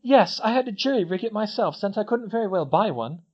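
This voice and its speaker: A man voicing an earnest, anxious teenage schoolgirl witch in a high, pleading voice. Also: animated, a little fast. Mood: earnest, determined. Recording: dull lo-fi recording.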